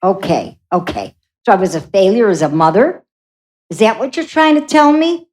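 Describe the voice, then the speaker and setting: A woman performs a bewildered, salty mother in a radio drama. Her pitch swings widely, and she is emotional, irreverent and quick to exclaim. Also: very animated, a little mellow, natural voice. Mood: hurt. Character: brash, salty dead mother, bewildered in the afterlife. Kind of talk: radio play acting.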